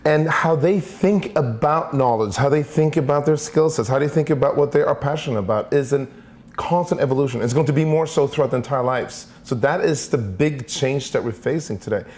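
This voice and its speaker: Interview guest: man in his forties from Sweden, mid-pitched, thoughtful, repeats words while thinking, lapel mic in an office. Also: moderate pace, a little mellow. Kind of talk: conversational interview answers. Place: office with large windows.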